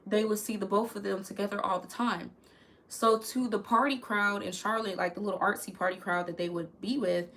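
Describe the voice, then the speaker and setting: Young woman, crackly voice, candid chatty storyteller, mid pitch. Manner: chatty, candid, talks to viewers as "y'all". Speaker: YouTuber telling a story. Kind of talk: casual storytime vlog.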